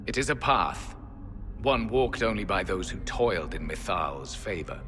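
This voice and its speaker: An actor voicing a proud, weary ancient elf guardian in a video game, speaking in stern, formal, archaic phrases. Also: male voice, deep voice, lively intonation, unhurried. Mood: grave, weary. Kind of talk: dramatic video game acting. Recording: long hall reverb, music underneath.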